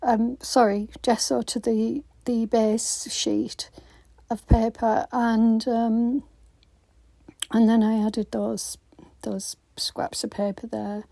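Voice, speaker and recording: A woman hosting a craft channel, giving a casual voiceover with a croaky voice after being ill, hesitant with many ums and restarts. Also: fairly high pitch, level tone, takes it slowly, warm tone, dry recording, rough recording. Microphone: phone.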